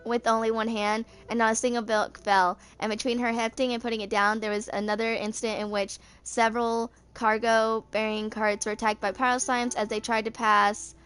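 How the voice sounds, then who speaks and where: Young woman, clear smooth voice, slightly high pitch, reading game lore, occasional stumbles over words. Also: little pitch movement, moderate pace, hardly any pauses, fairly bright tone, soft background music. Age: 20s. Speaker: gaming video creator.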